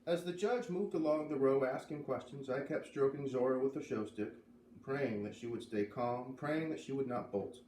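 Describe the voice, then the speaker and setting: A man reading a children's novel aloud as an expressive storybook narrator. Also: mid-range voice, moderate pace. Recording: roomy, distant mic, faint noise.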